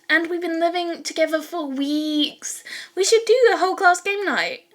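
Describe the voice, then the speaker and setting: Woman voicing a bubbly, high-pitched teenage schoolgirl. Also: lively intonation, normal speed. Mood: eager, coaxing. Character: bubbly, scheming teenage girl hero student.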